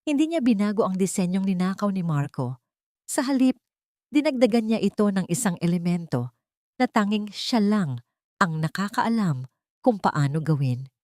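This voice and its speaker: A woman's voice: mid-range voice, expressive, normal speed, darkish tone, no room sound, clean studio sound. A woman with a Filipino accent reading scripted, dramatic narration for an audio drama.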